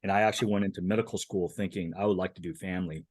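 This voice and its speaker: A man's voice: low voice, steady tone, racing, darkish tone, breathy edge, no echo. A man in his fifties, a family physician, talking on a panel with a self-deprecating humor and repeated words. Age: 50s. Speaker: family physician, clinical professor. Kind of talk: casual panel talk.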